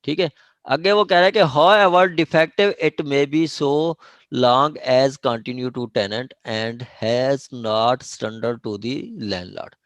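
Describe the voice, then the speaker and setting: A man's voice: mid-range voice, no echo. A man with a Pakistani accent gives an informal law lecture, explaining step by step, repeating himself, checking in often and mixing in English legal terms. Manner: explanatory and repetitive, with frequent check phrases; switches between Urdu and English legal terms. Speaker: law lecturer at a judicial academy.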